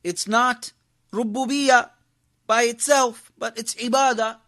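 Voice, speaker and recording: A man's voice: very high voice, fairly even intonation, takes it slowly, fairly bright tone. A man teaching a religious class as an imam, lecturing with emphasis, repeating key phrases and putting rhetorical questions to his listeners. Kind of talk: impassioned religious lecture to a congregation.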